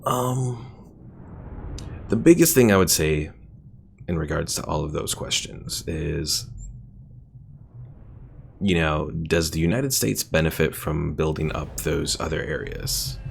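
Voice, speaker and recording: A man's voice: very deep voice, takes it slowly, creaky, long pauses, a little hiss or hum. A man casually commentating his strategy game on a live stream, thinking aloud, reading out stats and chat comments with plenty of fillers.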